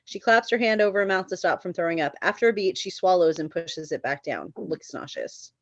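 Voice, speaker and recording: A woman's voice: lowish voice, expressive, a little fast, no room sound, lo-fi sound. A woman reading screenplay stage directions as the narrator of a table read.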